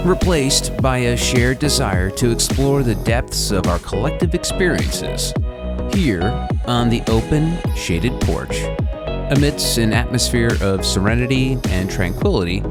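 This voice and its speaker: A man reading a scripted show introduction in a slow, measured, evocative way. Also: medium pitch. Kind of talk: scripted narration. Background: loud instrumental music.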